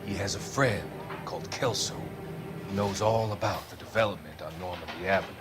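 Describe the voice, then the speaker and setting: Actor as a cold, low-voiced scheming doctor. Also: male voice, noisy background, lightly acted. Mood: probing, cool. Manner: measured, formal, menacing. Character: cold, controlled, scheming male doctor villain. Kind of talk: video game cutscene acting.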